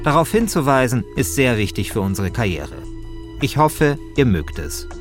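A man's voice: medium pitch, big pitch swings, moderate pace, faint music behind. A man hosting a podcast, moving between casual chat and scripted narration. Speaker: podcast host and author.

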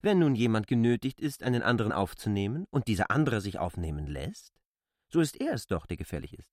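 An actor playing a stubborn, argumentative land surveyor in a literary radio drama, speaking insistently and provocatively. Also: male voice, fairly low pitch, very animated, brisk. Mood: defiant, arguing.

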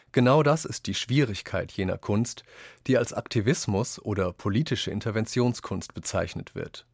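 A man reading quoted texts for a scripted radio essay, with deliberate, declamatory phrasing. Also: lowish voice, breathy edge, no echo, clean studio sound. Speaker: reader of quotations in a radio essay.

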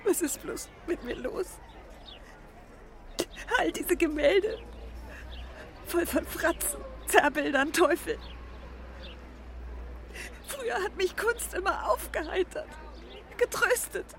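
A woman's voice: very high voice, lively intonation, slow pace, long pauses, a bit bright, slight background noise, heavily acted. An actress in a radio drama playing a distraught, fragile woman searching for her missing husband. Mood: anguished, tearful. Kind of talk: dramatic German radio play acting.

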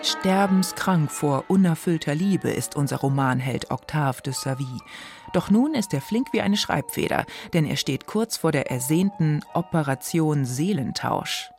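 A woman presenting a literary reading on radio, with scripted, lively and slightly wry delivery. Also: low voice, a little slow, faint music behind. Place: dry studio.

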